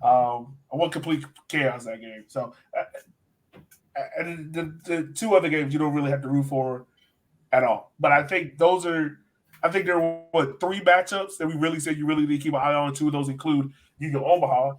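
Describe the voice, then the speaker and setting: A man's voice: a little high, steady tone, moderate pace. A man hosting a soccer podcast, talking in a casual, conversational sports-chat style.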